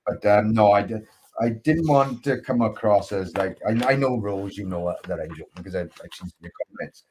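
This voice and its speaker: A man's voice: on the low side, moderate pace, a little mellow, a little hiss or hum, poor audio. A man from Wales co-hosting a casual chat show, with a Welsh accent, joking and self-mocking with stumbling restarts. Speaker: co-host.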